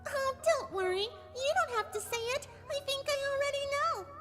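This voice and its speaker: A woman voicing a bubbly, childlike female cartoon pony who is a sweetly sadistic killer, with a squeaky, girlish voice. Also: normal speed. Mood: mock sweet. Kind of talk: cartoon fan audio drama. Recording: loud music behind the voice.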